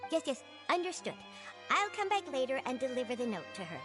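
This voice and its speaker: A fussy, fretful court secretary. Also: female voice, sits high, a little fast. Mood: resigned. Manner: fretful, exasperated, lively. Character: fussy young female court secretary, flustered. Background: game music.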